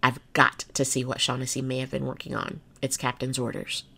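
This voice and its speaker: A woman's voice: rumbling low, completely flat delivery, a little fast, gravelly, pushed and bright, a little hiss or hum, full character voice. A woman playing a jaded but kind-hearted city cop in an audio drama, plain-spoken and reassuring. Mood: patient, businesslike.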